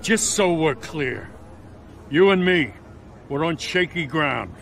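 Gruff middle-aged cop, raised pitch, gravel, stern, animated, game drama. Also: male voice, slow, heavily acted. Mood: wary. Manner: stern, tense. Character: gruff, wary middle-aged male police lieutenant. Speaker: police lieutenant. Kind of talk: video game voice acting.

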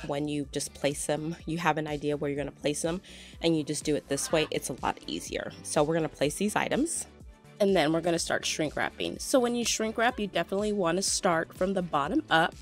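A middle-aged woman with a cold and a congested voice, chatting through a casual step-by-step gift-basket tutorial at home. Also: sits low. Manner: chatty, explains step by step with fillers. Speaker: couponing vlogger. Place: home, filming a tabletop close-up.

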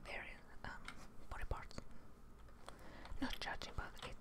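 A young woman whispering breathily and musing aloud to viewers as she streams a game in ASMR style, close to the microphone. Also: a little slow. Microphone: close studio microphone on desk.

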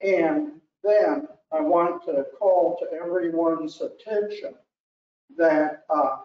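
An older man giving a public comment at a hearing, speaking slowly with pauses and fillers. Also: a little high. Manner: slow, halting, with pauses and fillers. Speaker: public commenter, homeowners association president. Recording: distant, roomy, muffled hall mic.

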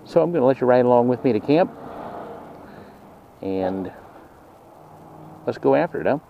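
A man's voice: medium pitch, expressive, normal speed. A man casually chatting in an easygoing, folksy way while riding a motorcycle on country roads. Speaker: motorcycle vlogger. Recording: dull lo-fi audio, loud engine and wind noise.

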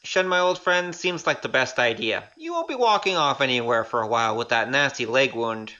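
Someone playing a glib, bright-voiced young rogue. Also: medium pitch, sing-song, a little fast, highs cut off. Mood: wry, sly. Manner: wry, glib. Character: Little Gou, cheeky young male rogue. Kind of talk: audio drama acting.

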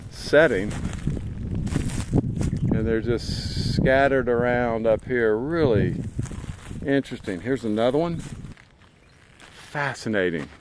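A man's voice: mid-range voice, a little hiss or hum. A man hosting a travel vlog, musing casually and conversationally as he hikes, recorded outdoors on a handheld camera. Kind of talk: casual hiking commentary. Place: outdoors on a mountain trail.

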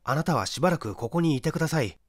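Man acting a brisk, firm gentleman investigator. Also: on the high side, animated, no room sound, studio quality. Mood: urgent, firm. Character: composed, authoritative male amateur investigator at murder scene.

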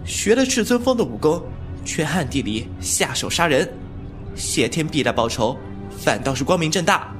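Male actor as cheeky, loyal young wuxia beggar, lively intonation over music, teasing and defiant. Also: fairly high pitch, moderate pace. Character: young male beggar-clan heir, wilful, flippant, loyal. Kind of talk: Chinese wuxia audio drama acting.

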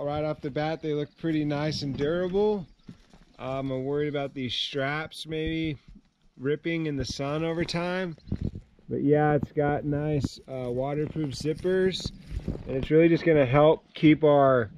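A man in his thirties casually reviewing gear outdoors beside his van, relaxed and full of fillers. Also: fairly high pitch, muffled recording, slight background noise. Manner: relaxed, filler-heavy, easygoing. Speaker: vlogger.

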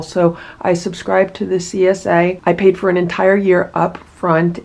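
Upbeat woman host with a somewhat low voice, chatting casually through an unboxing at home. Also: gravelly, a little mellow. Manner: enthusiastic, chatty, many asides. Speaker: vlogger unboxing a produce box.

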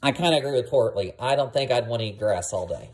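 A woman reading a children's picture book aloud like a teacher, expressive and addressing her young listeners. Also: extremely low pitch, fast talker, slightly rough. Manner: expressive, asks listeners questions.

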